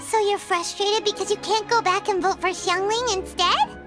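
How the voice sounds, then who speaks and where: A woman voicing a tiny, excitable childlike fairy companion in a video game, squeaky and exclamatory. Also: normal speed, music in the background. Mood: chirpy. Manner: speaks in third person, exclamatory.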